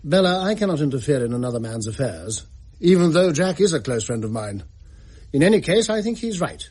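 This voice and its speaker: Grave period gentleman for audio drama: husky, formal, measured delivery with lively pitch swings. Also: dry recording. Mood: grave, concerned. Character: grave upper-class gentleman brother recounting a dark legend.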